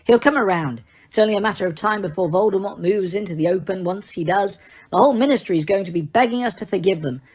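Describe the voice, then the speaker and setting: A woman's voice: medium pitch, fast talker, darkish tone, close to an everyday voice. An abrupt, bitter grown man, as a woman reader voices him. His words come clipped and sour. It's a plain storybook reading, not a big character voice.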